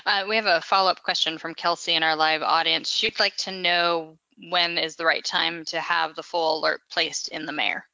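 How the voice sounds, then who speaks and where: A woman with a bright, crisp voice reads listener questions aloud as moderator. Her sound is dry and a little muffled. She speaks in a clear, measured way. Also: low voice, steady tone, no echo. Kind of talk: reading audience questions.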